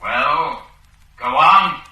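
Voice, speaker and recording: Totalitarian screen voice: icy, commanding, fairly high and cutting, drenched in echo, radio drama style. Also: male voice, expressive, very slow, highs cut off, heavily acted. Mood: menacing, commanding. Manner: barking, authoritarian, clipped orders. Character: cold, commanding male voice of the totalitarian state's telescreen. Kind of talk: old radio drama acting. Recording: very echoey, distant loudspeaker sound.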